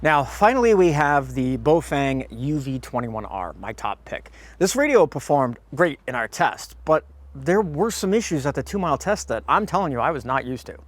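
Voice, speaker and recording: A man's voice: medium pitch, sing-song, normal speed, few pauses, no room sound. A man presenting an informal product review, addressing viewers directly in a folksy way as a host. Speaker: host of a radio gear review channel.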